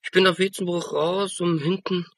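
An actor playing an evasive small-time burglar and vagrant in a German crime radio play, rough-voiced, defensive and colloquial. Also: male voice, sits high, steady tone, normal speed. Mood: evasive, grudging. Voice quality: husky, rough.

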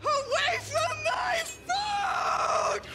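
Shrill, wailing, ravenous woman villain. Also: raised voice, heavily acted. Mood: enraged. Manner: wailing, theatrical, drawn-out. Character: obese, ravenous, paranoid woman villain, deranged and whiny. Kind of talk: video game cutscene acting.

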